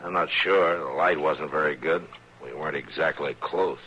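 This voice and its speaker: An actor playing a stern, steady frontier marshal in a 1950s radio drama, dry and commanding. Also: male voice, rumbling low, moderate pace, slight background noise. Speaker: town marshal. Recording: lo-fi old radio broadcast, saloon crowd behind.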